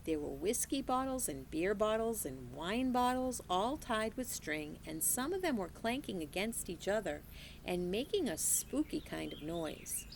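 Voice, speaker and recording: Woman teacher, read-aloud narrator, unhurried, animated delivery. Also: medium pitch, gravelly, a little hiss or hum. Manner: slow, expressive storytelling. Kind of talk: reading a children's novel aloud.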